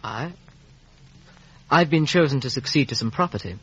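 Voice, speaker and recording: Husky, expressive young gentleman. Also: mid-range voice, normal speed. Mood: shaken. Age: young adult. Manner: formal, dramatic. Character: well-bred young gentleman, uneasy and proud. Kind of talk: 1950s radio drama acting and narration. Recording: dull vintage radio recording.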